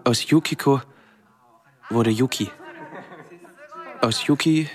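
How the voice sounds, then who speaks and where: An actor playing a withdrawn, guilt-ridden young man, recounting his memories haltingly and introspectively in a radio drama monologue. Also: medium pitch, lightly acted. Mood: haunted. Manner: slow, halting, introspective delivery in short phrases.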